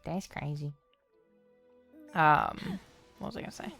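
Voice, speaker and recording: A woman's voice: deep voice, wildly swooping pitch, measured pace, gravelly, a bit bright, faint music behind. A woman streaming a game, talking casually and reading the story aloud.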